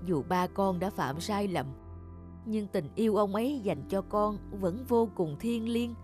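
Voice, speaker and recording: A woman acts a gentle old mother in a cartoon drama. She speaks slowly and solemnly, in a middle-pitched voice over soft music. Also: lightly acted. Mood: solemn, tender. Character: sorrowful, gentle elderly mother revealing a family secret.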